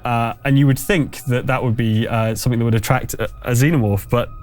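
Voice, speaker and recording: A man running a tabletop game as game master, improvising narration in a conversational, halting way. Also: medium pitch, fairly even intonation. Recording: music behind the voice. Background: music.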